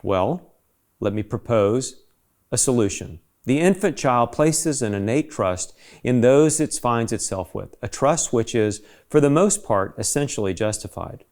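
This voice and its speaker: A man's voice: mid-range voice, animated, a little slow, a little mellow. A man reads a scripted essay aloud in an emphatic, rhetorical manner, as if pressing an argument. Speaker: reader of an essay.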